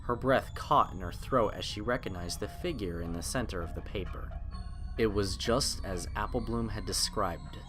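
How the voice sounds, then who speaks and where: Low-voiced man narrating horror fiction. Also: moderate pace. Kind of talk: scripted horror story narration. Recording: music clearly behind the voice.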